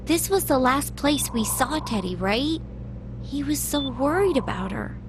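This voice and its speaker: A woman's voice: sits high, expressive, unhurried, background noise. A woman playing a worried, doubtful teenage girl in a video game. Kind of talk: English game voice acting.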